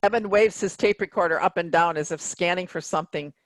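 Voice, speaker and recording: A woman's voice: lowish voice, fairly quick, no room sound. A woman reading scripted stage directions as narration for a play.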